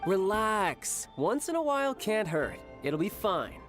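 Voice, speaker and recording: A man's voice: high voice, very animated, measured pace, fairly bright tone, soft background music, exaggerated voice. An actor playing an easygoing, doting big brother in a video game, a young man with an exclamatory, theatrical manner. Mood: relaxed.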